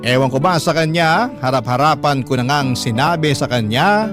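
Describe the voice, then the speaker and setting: A man's voice: medium pitch, animated, moderate pace. A man narrating a first-person love story as a radio host reading out a letter. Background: guitar music.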